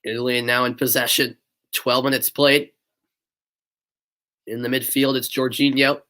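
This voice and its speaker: A young man with a stuffy nose calls the match live at a steady clip, naming each pass and shot. He breaks off now and then to chat casually with viewers. His pitch sits in an ordinary middle range. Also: unhurried. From northeastern United States. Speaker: play-by-play commentator. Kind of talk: live amateur sports commentary.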